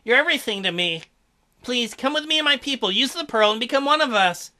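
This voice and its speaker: A man's voice: very high voice, animated, fairly quick, bright, forceful tone, voice pushed up, heavily acted. A man voicing a young merman who speaks in an earnest, pleading way. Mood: tender. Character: young devoted merman, wounded.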